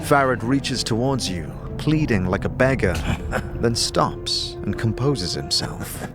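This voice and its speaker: A man's voice: mid-range voice, lively intonation, slow. A man narrating a fantasy audio drama in a dramatic, scripted, second-person storytelling style. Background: music.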